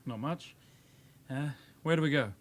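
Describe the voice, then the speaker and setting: A man's voice: fairly high pitch, a little slow, close to an everyday voice. An actor in his twenties playing a polite, reserved young detective constable in a radio drama, speaking in brief replies. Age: 20s. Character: polite young grammar-school detective constable, new sidekick.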